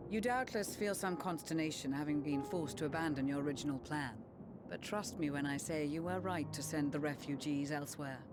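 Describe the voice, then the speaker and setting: A woman's voice: sits low, background noise, close to an everyday voice. A woman playing a composed, authoritative knight commander in a fantasy game. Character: steadfast adult female knight commander, grave and composed. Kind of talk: formal fantasy game acting. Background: orchestral game music.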